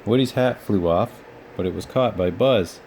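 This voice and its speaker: A man's voice: on the low side, normal speed, darkish tone, slight background noise. A man narrating a children's storybook as a read-aloud storyteller.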